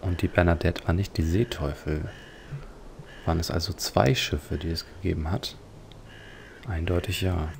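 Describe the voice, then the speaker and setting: Deep, gravelly man commenting on a game. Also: steady tone, normal speed, pauses often, slight background noise. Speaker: let's player.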